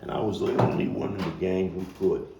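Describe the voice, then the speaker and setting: A man performing a theatrical monologue as a street-wise, bookish Brooklyn man recalling a hard childhood, halting and reflective with dramatic pauses. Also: sits low, level tone. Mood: reflective, wry. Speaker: actor-playwright performing his monologue. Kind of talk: theatrical monologue at a table read. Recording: roomy, distant mic with faint noise.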